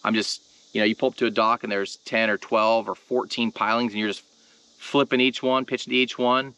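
A man's voice: mid-range voice, fairly even intonation, brisk, bright, forceful tone, poor audio. A man hosting an instructional video, explaining gear and technique in an informal, conversational way, speaking straight to viewers. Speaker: host of a fishing tutorial channel. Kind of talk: casual instructional demonstration talk.

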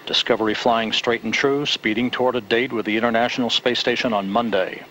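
A man giving live, measured launch commentary in a control-center broadcast, stating altitude, speed and timing. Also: mid-range voice. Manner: steady, measured, detail-heavy. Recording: noisy broadcast audio with rocket roar.

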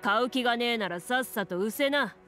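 Woman doing a gruff, surly male merchant. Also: fairly high pitch, brisk, a bit bright, faint music behind, close to an everyday voice. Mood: surly, irritated. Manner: rough, curt, scoffing speech.

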